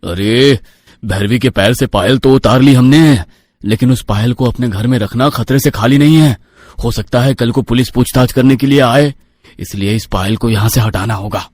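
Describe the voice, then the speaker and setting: A man's voice: mid-range voice, moderate pace, darkish tone, dry recording, natural voice. A greedy, murderous uncle voiced by a man in a cartoon. He sounds cautious and plotting, in a mid-range voice with a dry, close sound. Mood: wary, plotting. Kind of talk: cartoon villain acting.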